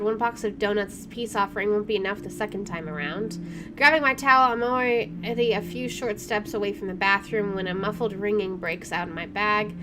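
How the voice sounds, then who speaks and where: A chatty, expressive woman reading a visual novel aloud as she plays, with casual jokey commentary. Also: mid-range voice. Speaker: gamer doing a first playthrough.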